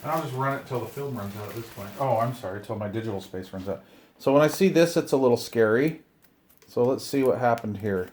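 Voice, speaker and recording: Excited middle-aged man, rambling casually. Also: mid-range voice, a little fast, slight background noise. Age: middle-aged or older. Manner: excited, rambling, talks to himself and viewers. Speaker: hobbyist video host. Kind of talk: casual unboxing chat to camera.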